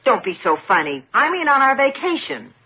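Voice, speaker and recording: High, shrill nagging wife. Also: female voice, big pitch swings, moderate pace, husky, exaggerated voice. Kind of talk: 1950s radio comedy sketch commercial. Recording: phone-like vintage radio recording.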